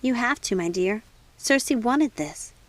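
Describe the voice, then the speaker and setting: A woman voicing gentle, motherly witches in a storybook, tender, measured and sadly resigned. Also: on the high side, animated, dry recording.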